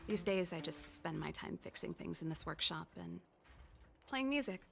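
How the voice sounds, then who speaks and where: A woman's voice: fairly low pitch, lively intonation, moderate pace, creaky. Easygoing, self-assured woman mechanic, music behind. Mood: breezy. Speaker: video game character. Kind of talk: scripted game dialogue.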